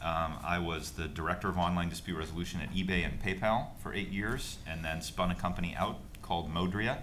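Middle-aged man, very low voice, fluent upbeat panel talk, table mic, conference room. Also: fairly even intonation, a little fast, fairly bright tone, slight background noise. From United States. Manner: fluent and upbeat, laughs at himself. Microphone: table microphone.

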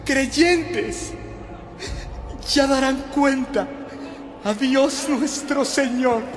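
Radio drama priest: solemn preacher, slow delivery, music behind. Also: male voice, extremely high pitch, exaggerated voice. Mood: indignant. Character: indignant, steadfast priest calming his congregation. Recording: echoey hall with music behind.

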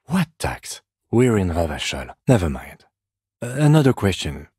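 A male video game police detective with a very deep, slightly husky voice. He is composed and dryly formal, measuring each phrase with restrained exasperation. The sound is close and dry. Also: big pitch swings, moderate pace, darkish tone, dry recording, heavily acted. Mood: calm, dry. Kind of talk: scripted video game dialogue.

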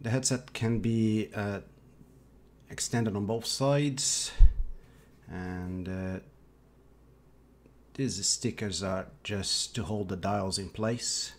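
A man in his thirties giving a casual tech product review with hesitant, halting phrasing. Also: on the low side. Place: small home office, indoors.

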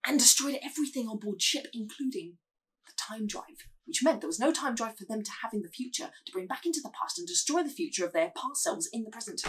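A woman playing a self-satisfied male spaceship crewman, rambling in mock earnest through a deadpan sitcom log. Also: fairly high pitch, slightly rough, rough recording. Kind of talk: sitcom monologue acting.

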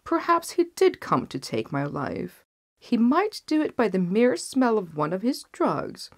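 A furious old king from a fairy tale, put on by a woman reading aloud. He climbs high and loud, drags out his orders, and swings his pitch widely with a rough, gravelly edge. He sounds like a storybook ruler who will hear no argument. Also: sing-song, measured pace, full character voice. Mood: suspicious. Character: angry gullible old king, male.

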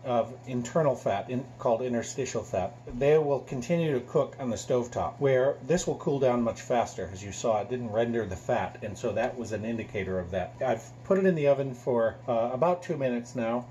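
A man's voice: mid-range voice, fairly quick, hardly any pauses, dark tone, dull audio. A middle-aged man, a chef, explaining step by step while he cooks at a gas stove in a home kitchen, recorded by a camera across the room. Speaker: chef and store co-owner. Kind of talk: cooking demonstration. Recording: kitchen recording with clear frying noise. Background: frying and sizzling pan.

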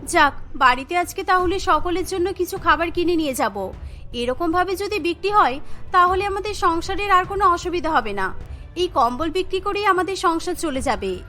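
A woman's voice: extremely high pitch, lively intonation, moderate pace, pushed and bright, soft background music, heavily acted. A woman voicing a practical, earnest young daughter-in-law in a cartoon story. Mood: hopeful.

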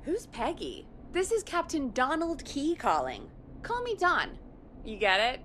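An actress playing a perky, teasing radio producer in a video game, playful and bubbly. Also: female voice, high-pitched, huge pitch swings, unhurried, a bit bright, slight background noise, full character voice. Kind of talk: game voice acting.

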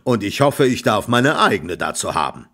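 A man voicing a gruff, stout middle-aged farmer who scolds and threatens in a rough voice in an audiobook. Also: on the low side, very animated, moderate pace, sharp tone, exaggerated voice. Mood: gruff, threatening. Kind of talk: audiobook character voice.

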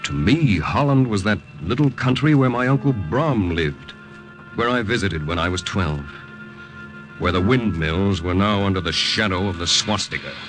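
A man's voice: low voice, lively intonation, normal speed. An actor playing a tense American wartime secret agent, narrating his mission with dramatic suspense in 1950s radio style. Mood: measured narrating. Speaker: actor in lead role, narrating in first person. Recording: old 1950 radio recording, music behind.

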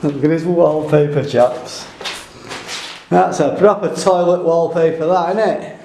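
A man's voice: a little high, measured pace, creaky, darkish tone, reverberant. A man, joking and rambling as he films himself exploring a derelict house on a handheld camera. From England. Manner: jokey, rambling, swears casually. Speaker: urban explorer vlogger. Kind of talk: casual handheld exploring commentary. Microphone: handheld camera mic. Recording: noisy camera audio.

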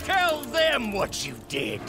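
Gravelly, vengeful scientist supervillain. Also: male voice, extremely high pitch, wildly swooping pitch, slow pace, heavily acted. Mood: menacing. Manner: theatrical, menacing declarations. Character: vengeful, bitter older male scientist supervillain. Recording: loud music behind the voice.

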